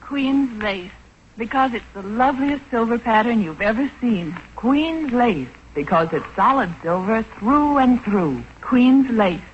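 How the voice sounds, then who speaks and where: A woman's voice: a little high, animated, measured pace. A woman reading slogans for a 1940s radio commercial. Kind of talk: 1940s radio advertising read. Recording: muffled, hissy 1940s radio recording.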